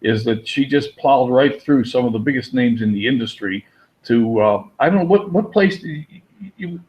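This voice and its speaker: A man's voice: mid-range voice, animated, moderate pace, a little mellow. A man hosting a webinar, eager and chatty, repeating words and restarting sentences. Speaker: host. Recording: muffled lo-fi audio.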